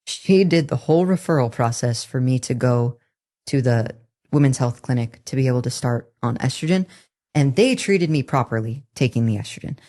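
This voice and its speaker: A woman in her twenties speaks with a low, somewhat masculine-leaning voice. As a podcast guest, she tells her story earnestly and candidly. She often stops and restarts her sentences. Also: a little mellow. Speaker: interview guest. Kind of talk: personal testimony in a podcast interview.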